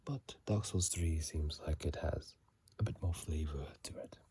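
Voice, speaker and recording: A video host whispering softly in a breathy, hushed voice, reading aloud and adding casual commentary in an ASMR style, close to a phone mic. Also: very deep voice, a little slow. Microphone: phone mic held close.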